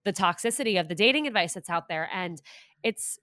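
A woman's voice: fairly low pitch, lively intonation, a little fast, pushed and bright, dry recording, studio quality. A young woman hosting a podcast, talking frankly and with emphasis in a casual interview. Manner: frank and emphatic, mocks bad advice. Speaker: podcast host.

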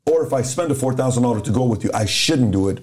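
Man from Iran, middle-aged, warm mellow tone, animated storyteller, acts out conversations. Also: mid-range voice, fast talker. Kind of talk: casual podcast storytelling.

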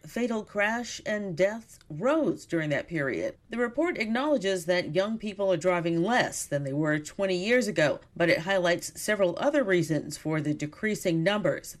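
A woman delivering a scripted news report as a reporter. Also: fairly low pitch.

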